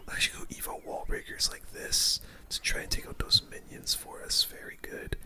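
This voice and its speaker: Close, bright whispering gamer. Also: brisk. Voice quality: unvoiced breathy whisper. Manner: soft whispering, mild swearing, musing aloud. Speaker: gaming video creator. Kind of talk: ASMR gaming commentary. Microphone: close microphone.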